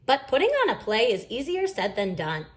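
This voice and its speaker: A woman reading a children's story aloud in an expressive, emphatic storytelling manner. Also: a little high, moderate pace. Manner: animated, emphatic. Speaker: storybook reader.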